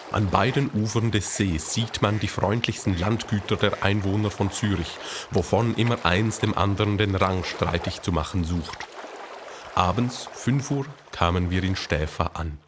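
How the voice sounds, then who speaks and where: A man's voice: deep voice, noisy background. A man playing a cheerful, observant eighteenth-century manservant, telling his travel diary plainly in a radio play.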